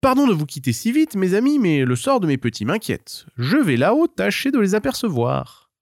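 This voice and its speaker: A man's voice: high voice, sing-song, normal speed, no echo, clean studio sound, pitched above the performer's own voice, heavily acted. A man voicing a proud, clever mother hen, formal and theatrical, in a children's tale. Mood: feigned politeness.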